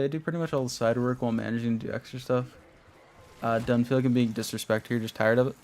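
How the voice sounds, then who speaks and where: A young man streaming a game, chatting casually with his viewers in a rambling way while he plays. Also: mid-range voice. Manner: rambling, talks to chat while playing.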